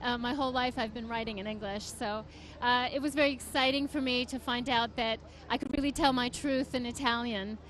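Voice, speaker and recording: A woman's voice: a little high, steady tone, fairly quick, few pauses, fairly bright tone, rough recording. A woman from the United States, a guest author, talking with enthusiasm and many fillers in an interview at a book fair. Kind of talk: interview answers.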